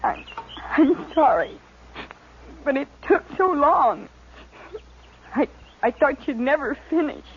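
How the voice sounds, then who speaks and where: A woman's voice: high voice, expressive, takes it slowly, long pauses, heavily acted. A woman playing an anxious, devoted young frontier daughter with an American accent, hesitant and pleading, in a 1950s radio western. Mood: anxious, upset. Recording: tinny, hissy old radio recording.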